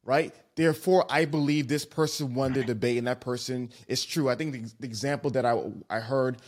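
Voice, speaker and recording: Earnest, reflective podcast host. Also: male voice, medium pitch, steady tone, a little fast. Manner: earnest, reflective, long-winded. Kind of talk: casual podcast conversation.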